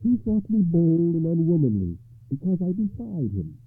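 A man voicing a bold, knowing, monstrous lady under enchantment, speaking in a deliberate, stately way for a told legend. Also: a little high, sing-song, breathy edge, dry recording, pitched above the performer's own voice, heavily acted. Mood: bitter, defiant. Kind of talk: storytelling character voice. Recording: muffled phone-like narrow-band sound.

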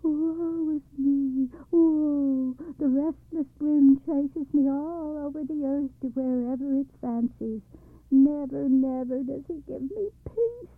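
A woman's voice: high voice, takes it slowly, dry recording, pitched above the performer's own voice. A woman doing a wailing, tearful cloud. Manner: wailing, sobbing. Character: huge heavy male cloud, tearful and self-pitying. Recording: tinny phone-like recording.

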